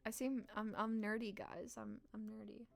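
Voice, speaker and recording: A woman live streamer reading a visual novel aloud in a playful, expressive way with casual reactions. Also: on the high side, moderate pace, vocal fry, faint music behind.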